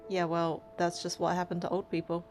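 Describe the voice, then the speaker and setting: A woman's voice: lowish voice, completely flat delivery, a little fast, a little mellow, faint music behind. A woman doing a game playthrough, reading narration and heroine lines aloud and commenting casually.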